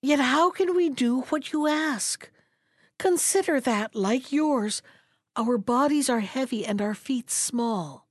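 A woman voicing a gentle, anxious duck who talks in an earnest, sorrowful way in a storybook reading. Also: high voice, expressive, slow pace, dry recording, studio quality, pitched above the performer's own voice, full character voice.

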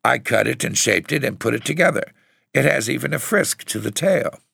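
A man's voice: on the low side, sing-song, normal speed, gravelly, a bit bright, dry recording, studio quality, pitched above the performer's own voice, heavily acted. A man voicing a headstrong, earnest girl of about ten in an audiobook story. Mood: defiant. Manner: earnest, stubborn. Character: headstrong little Irish girl of nine or ten.

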